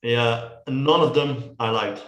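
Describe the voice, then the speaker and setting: Dutch man with a Dutch accent, casual film chat, hesitant and repetitive, mid-pitched, roomy echo. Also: a bit bright, lo-fi sound. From Netherlands. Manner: halting, repeats words and restarts often. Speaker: co-host of a movie review show.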